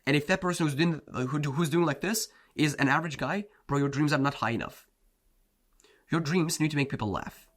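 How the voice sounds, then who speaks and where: A man's voice: on the high side, fairly quick, fairly bright tone. A man whose first language is Turkish, a self-improvement coach giving a blunt, slangy motivational talk, often saying "bro" and "like". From Turkey.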